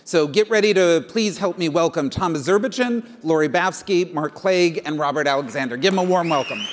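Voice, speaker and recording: A man's voice: a little high, a little fast, a little hiss or hum. A man emceeing a conference, introducing the next panel and rallying the audience in an upbeat way. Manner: upbeat announcer, rallies the audience. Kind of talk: stage introduction. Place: conference stage. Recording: reverberant hall.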